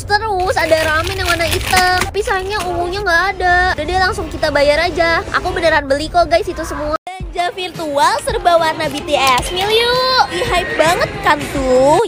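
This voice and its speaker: A young woman with a very high voice narrates a shopping vlog in a chirpy, quick patter. Background music plays clearly under her voice-over. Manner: chirpy, quick product commentary. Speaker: shopping vlogger.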